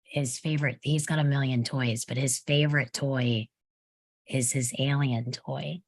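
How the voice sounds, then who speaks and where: Woman with a really low voice just chatting with friends. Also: fairly even intonation, a little slow. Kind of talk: casual group chat.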